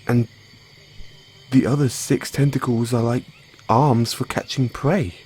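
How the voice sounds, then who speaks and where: Over a faint rumbling noise, a man acts out a geeky mad scientist boyfriend in an intimate audio roleplay. He speaks slowly, stammering and hesitating, excitable and anxious yet tender. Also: close to an everyday voice. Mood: uneasy, curious. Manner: halting, stammering, breathy hesitations.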